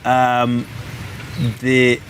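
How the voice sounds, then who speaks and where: Guy in his 30s from kenya, guest on a talk show, slow and halting, faint background noise. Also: mid-range voice, completely flat delivery, a bit bright. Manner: halting, many fillers and restarts, earnest. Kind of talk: storytelling in an interview.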